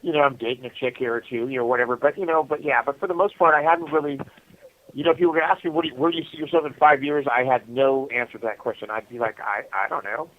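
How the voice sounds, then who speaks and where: A middle-aged American man telling his story casually over a telephone, halting and conversational, often saying "you know.". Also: mid-range voice, fairly even intonation. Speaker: prisoner interview guest calling from prison. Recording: muffled phone call from prison.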